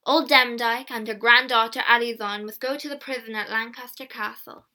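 A woman voicing a stern rich gentleman in a story, giving firm, loud commands. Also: fairly high pitch, steady tone, normal speed. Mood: stern, commanding.

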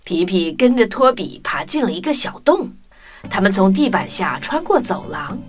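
Animated woman narrating a children's story. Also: medium pitch, normal speed. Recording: music under the voice.

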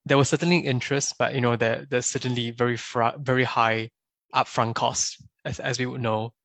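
Gravelly-voiced young man. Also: medium pitch, flat delivery, a bit bright, no echo. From Singapore. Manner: thoughtful, measured, often adds a questioning "right?". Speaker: podcast guest. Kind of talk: casual interview answers.

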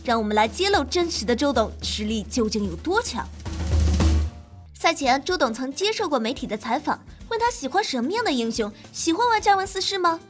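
A woman host reading scripted gaming narration in a playful, dramatic storytelling manner. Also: high voice. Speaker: game show host and streamer. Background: background music.

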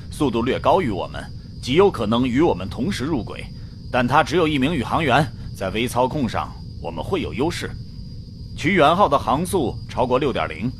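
A man playing a pragmatic astronaut who doubts everything, in a sci-fi radio play. He is matter-of-fact and dismissive, with an expressive mid-pitched voice and faint noise in the background. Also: natural voice. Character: pragmatic, sceptical male Indian astronaut. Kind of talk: radio drama acting.

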